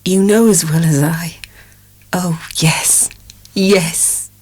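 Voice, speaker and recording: A woman's voice: on the low side, steady tone, extremely slow, darkish tone, breathy edge, exaggerated voice. An actress playing an anguished, tender young dairymaid in love in a dramatic audiobook, hesitant and impassioned as she hides a secret. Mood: hesitant, subdued.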